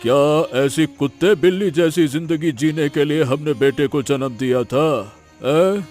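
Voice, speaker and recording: A man's voice: a little high, a little slow, darkish tone, faint music behind, lightly acted. Man playing weary old village father, musical backdrop. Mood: bitter, resigned. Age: older. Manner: lamenting, fatalistic. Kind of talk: Hindi moral cartoon story dialogue.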